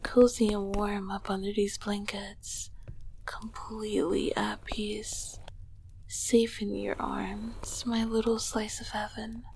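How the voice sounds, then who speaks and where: A woman voicing an ancient succubus queen in an intimate ASMR roleplay, tender, regal and teasing, with a breathy, husky, often whispering voice. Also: medium pitch, little pitch movement, slight background noise, rough recording. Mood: peaceful, loving. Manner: slow, affectionate, softly teasing.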